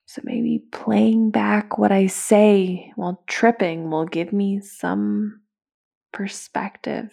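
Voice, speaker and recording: A woman's voice: medium pitch, steady tone, takes it slowly, gravelly, dark tone, exaggerated voice. An actress playing an anxious young woman in a found-footage audio drama, speaking hesitantly with sighs and whispers. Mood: uneasy, reflective. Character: anxious, searching young woman confiding to a recorder.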